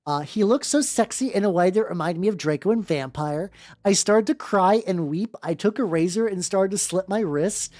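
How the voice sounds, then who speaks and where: A woman's voice: mid-range voice, moderate pace, a little mellow, dry recording, studio quality. A woman hosting a live stream, chatting casually and reading fanfiction aloud with sweary, slangy, wry asides. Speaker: streamer, vtuber host. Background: background music.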